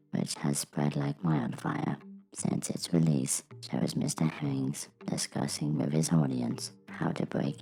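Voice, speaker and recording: A performer playing a formal, detached robot news host reading a scripted bulletin in measured phrases. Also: rumbling low, warm tone, full character voice, rough recording. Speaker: news presenter.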